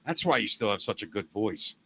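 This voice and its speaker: A middle-aged man hosting a casual podcast, a former radio DJ with a loud, gravelly former smoker's voice who tells stories and acts out the voices he quotes. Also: lowish voice, expressive, a little fast. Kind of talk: casual chat.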